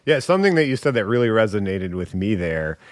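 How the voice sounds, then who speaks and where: A man's voice: fairly low pitch, very animated, brisk, no echo, studio quality. A man hosting a podcast interview, talking in an enthusiastic, conversational way as he reacts to his guest. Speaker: podcast host.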